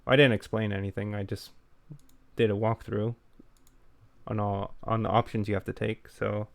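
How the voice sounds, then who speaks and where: A man talks in a low, fairly flat voice with a smooth, clear tone. He sounds low-key and self-effacing, like a co-host in a relaxed podcast conversation. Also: moderate pace, dry recording. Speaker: podcast co-host who makes gaming videos. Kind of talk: casual podcast chat.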